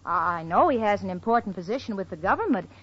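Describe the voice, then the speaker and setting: An American actress playing a quick-witted, exasperated young single woman in old-time radio comedy, wry and emphatic. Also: medium pitch, big pitch swings, husky, dull audio. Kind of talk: 1940s-50s radio comedy acting.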